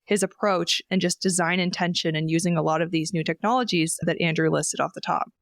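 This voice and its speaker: A Canadian woman co-hosting a podcast, upbeat and quick to laugh as she chats and introduces a guest. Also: on the low side, fairly even intonation, dry recording, studio quality. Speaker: podcast co-host.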